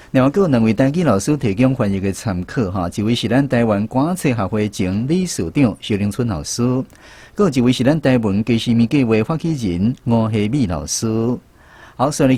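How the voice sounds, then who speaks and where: A man with a Taiwanese accent and a slightly husky voice, hosting a language-teaching segment on radio. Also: medium pitch, a little mellow, no echo. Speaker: radio programme host.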